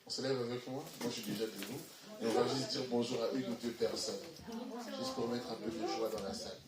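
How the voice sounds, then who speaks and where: An energetic man, addressing a church congregation as a guest ministry speaker. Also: medium pitch, fairly quick, a loud crowd. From Belgium. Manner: energetic, upbeat. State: has a cold, sniffly. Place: church hall. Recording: echoey hall from far off.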